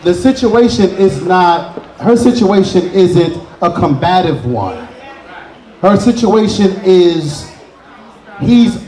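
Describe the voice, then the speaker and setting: Mellow, higher-pitched man in an echoey room. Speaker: panelist giving relationship advice. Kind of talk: unscripted discussion.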